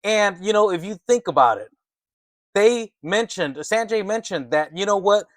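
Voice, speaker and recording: A man with a slightly high voice hosts informal market commentary. He is emphatic and conversational, punctuating his points with little check-in questions. The sound is dry and close. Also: animated, normal speed, a bit bright, no echo. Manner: emphatic, conversational, often adds tag questions like "right" and "okay". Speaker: host of a stock market commentary channel. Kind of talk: excited informal market commentary, partly reading articles aloud.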